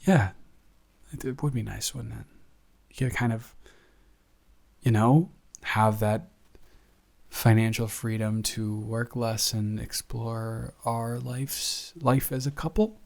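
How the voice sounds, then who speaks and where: A man's voice: medium pitch, fairly even intonation, creaky, a little mellow. Man acting out a flustered, devoted young male roommate. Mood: tender, hopeful. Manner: halting, rambling, affectionate, teasing. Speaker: audio roleplay performer. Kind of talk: improvised intimate boyfriend roleplay, conversational.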